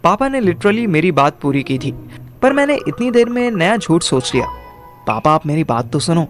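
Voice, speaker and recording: Actor as a wry young Indian man, animated intonation, colloquial, quick-witted, audio drama narration, faint music. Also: fairly high pitch. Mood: amused, then earnest pleading. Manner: animated, colloquial, mixes in English. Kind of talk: first-person scripted narration and dialogue, audio drama.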